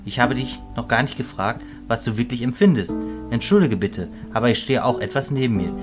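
A fatherly old wizard who leads his fellows, played by a man in a fantasy audio drama. He speaks in a medium-pitched voice, calm and considerate. Music runs behind the voice. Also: a little fast, natural voice. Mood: grave, caring. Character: fatherly, kindly old male wizard leader.

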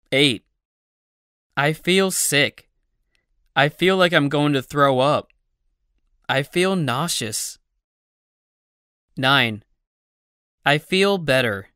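Very slow, bright, crisp phrase reader. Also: medium pitch, dry recording, clean studio sound. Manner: slow, evenly spaced delivery of numbered phrases. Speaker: narrator of a language-learning audiobook. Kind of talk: slow scripted phrase reading for learners.

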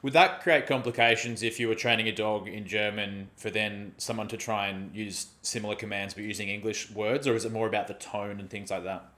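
Man asking halting interview questions. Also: lowish voice, fairly even intonation, sharp tone. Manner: halting, rephrases his questions. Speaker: podcast host. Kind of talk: interview questions on a video call. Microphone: headphones on a video call.

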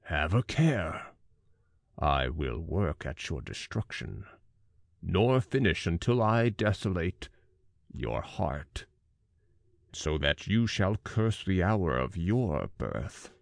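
Very deep, slow, gravelly monster. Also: male voice, sing-song, dry recording, clean studio sound, full character voice. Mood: menacing, vengeful. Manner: slow, weighty, dramatic delivery. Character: monstrous male fiend, miserable, vengeful, pleading. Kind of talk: audiobook character acting.

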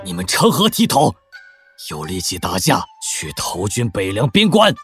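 An actor playing a stern, stubborn old academy master in an audio drama, raspy and grave as he admonishes. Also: on the low side, wildly swooping pitch, moderate pace, fairly bright tone, soft background music. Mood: stern rebuke. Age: older. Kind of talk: Chinese audio drama acting.

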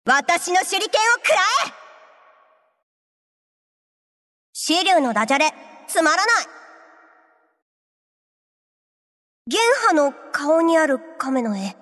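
A woman's voice: high-pitched, big pitch swings, moderate pace, fairly bright tone. An actress voicing a cocky, mischievous teenage girl fighter in a video game, brash and teasing. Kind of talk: Japanese game character voice lines. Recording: long reverb on game voice clips.

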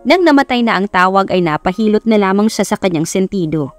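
A woman's voice: medium pitch, animated, a little fast, no room sound. A woman with a Filipino accent narrating a scripted story in a dramatic style. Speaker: audiobook narrator. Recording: music behind the voice.

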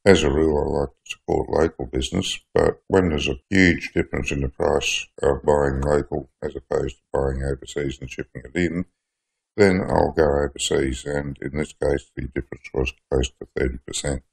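Older Aussie hobbyist with a very deep, gravelly voice, casual and self-deprecating product review. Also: male voice, steady tone, moderate pace. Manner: chatty, plainspoken, self-deprecating. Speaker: hobby welder reviewing gear. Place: workshop shed.

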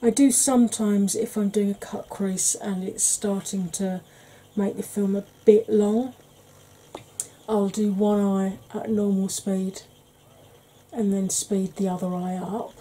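A woman in her forties with a Welsh-tinged northern English accent, talking slowly and softly with wry humour while doing her makeup. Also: mid-range voice, level tone, husky, rough recording. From Wales and Yorkshire. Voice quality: soft-spoken, slow, wry. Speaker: makeup vlogger. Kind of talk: soft-spoken makeup tutorial chat.

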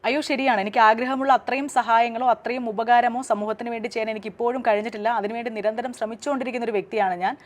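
A woman's voice: on the high side, steady tone, racing, few pauses. A woman with a Kerala accent making a formal, articulate public statement in her own defence. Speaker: radio jockey and social media creator. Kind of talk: direct-to-camera public statement.

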